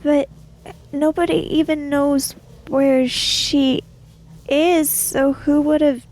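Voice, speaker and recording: High-voiced woman playing a tabletop game. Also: steady tone, slow pace, darkish tone. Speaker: tabletop roleplay player. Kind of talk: in-character improvised roleplay. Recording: music bed behind the voice.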